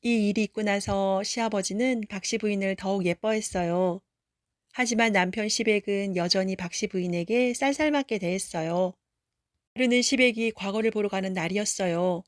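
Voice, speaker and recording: A woman's voice: medium pitch, moderate pace, no room sound, clean studio sound. A woman narrating a children's folktale audiobook as a storyteller, with explanatory storybook phrasing.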